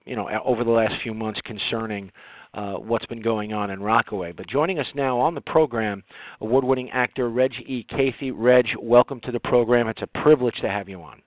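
An American man hosting a radio interview, speaking conversationally with halting, hesitant phrasing. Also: mid-range voice, no echo. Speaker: radio show host, interviewer. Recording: dry, narrow phone-like internet radio sound.

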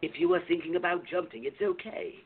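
A man playing a tinny, raspy, sinister recruiter. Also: sits high. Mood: coaxing, sly. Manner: theatrical, measured, insinuating. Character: sly, sinister older man recruiting for a secret club. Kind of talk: radio play acting. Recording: tinny, phone-like narrow-band audio.

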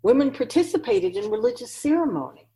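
A woman's voice: sits high, huge pitch swings, fairly quick, vocal fry, mellow, exaggerated voice. A woman playing a wise otherworldly keeper of souls in a radio drama, teaching humanity in an emphatic, oracular manner with rhetorical questions. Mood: grave, lecturing. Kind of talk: radio drama dialogue, lecturing.

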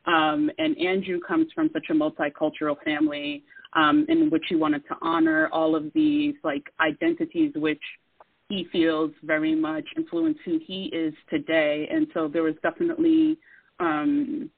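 Radio guest with an even mid-range voice, earnest and measured, heard on a dry phone-like line. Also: mid-range voice, gravelly, no room sound. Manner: earnest, measured, with many fillers. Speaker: guest artist. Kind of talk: casual interview talk.

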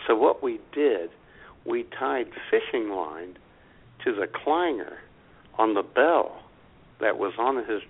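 A man's voice: mid-range voice, lively intonation, slow, long pauses, husky. A man co-hosting a comedy radio show who laughs often and tells stories with relish. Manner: laughs often, eager storyteller. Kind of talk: casual comedic radio banter. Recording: tinny phone-like line.

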